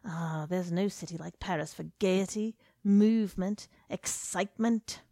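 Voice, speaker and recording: A woman voicing a loud, worldly male journalist who brags jovially, in a dry recording. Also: lowish voice, unhurried, no room sound. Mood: swaggering. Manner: brash, swaggering, jovial. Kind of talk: audiobook character reading.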